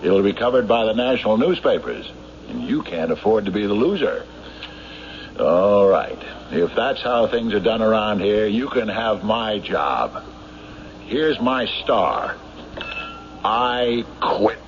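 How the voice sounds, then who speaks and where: Deep-voiced, stubborn small-town sheriff. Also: male voice, lively intonation, takes it slowly. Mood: indignant. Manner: blunt, insistent, sardonic. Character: stubborn, hard-driving small-town sheriff, certain of guilt. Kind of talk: old-time radio drama acting. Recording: noisy, muffled vintage radio broadcast.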